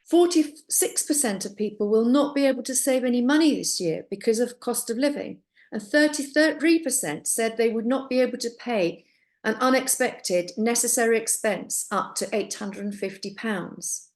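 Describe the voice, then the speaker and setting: A woman's voice: a little high, normal speed. A middle-aged woman originally from Finland giving an earnest, upbeat sales presentation about a business opportunity, speaking personally to her audience.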